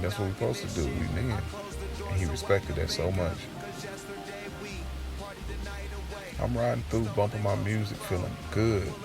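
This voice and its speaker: A man playing a streetwise young man just out of jail, telling his story conversationally in casual slang, with a gravelly voice, in an audio drama. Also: sits low, distant mic, low-quality recording. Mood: relaxed, upbeat. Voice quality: gravelly, creaky.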